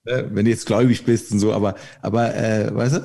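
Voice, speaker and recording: A man's voice: lowish voice, steady tone, quick pace, vocal fry, mellow. A middle-aged man with a German regional dialect, talking at length as a podcast guest, full of restarts and laughter. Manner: rambling, many restarts, laughs often. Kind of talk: casual podcast conversation.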